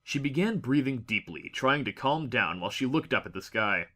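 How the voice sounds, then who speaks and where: A man reads scripted fiction as a dramatic audiobook narrator. His voice sits at an average male pitch and has a bright, forceful tone. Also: animated, moderate pace.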